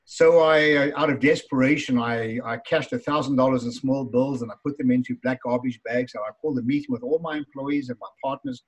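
A husky-voiced man in his sixties speaks with a South African accent. He talks as an interview guest, chatty and self-deprecating, often pausing on "uh" as he tells stories from his working life. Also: medium pitch, fairly even intonation, low-quality recording. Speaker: guest, business educator. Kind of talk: casual interview storytelling.

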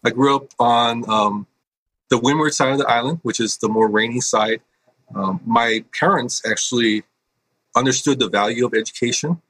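A middle-aged man from Hawaii, a school principal, answering interview questions in an earnest, halting way with many fillers. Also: medium pitch, fairly bright tone, breathy edge, no echo, poor audio.

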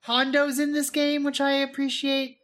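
A woman's voice: high voice, level tone, normal speed, a loud crowd. A woman co-hosting a podcast, chatting casually and enthusiastically. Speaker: podcast co-host. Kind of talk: casual chat.

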